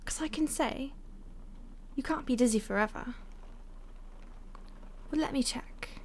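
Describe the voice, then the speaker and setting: A woman playing a playful, condescending vampire stalker in an intimate audio roleplay, teasing and haughty in a breathy voice that often drops to a whisper. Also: high voice, a little slow, a little hiss or hum. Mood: coaxing, predatory.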